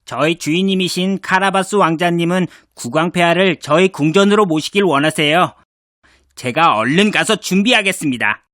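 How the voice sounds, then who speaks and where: A high, bright-toned cartoon cat, smooth-talking and cunning, dry close sound. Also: male voice, normal speed, no room sound. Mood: courteous. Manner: polite and flattering. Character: clever scheming male cat in boots. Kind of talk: cartoon voice acting.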